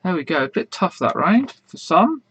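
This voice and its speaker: A man hosting an online quiz in a casual, rambling way, full of fillers, with a slightly croaky voice. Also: high voice, sing-song, moderate pace, a bit bright, highs cut off. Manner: chatty, rambling, many fillers and restarts. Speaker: quiz host.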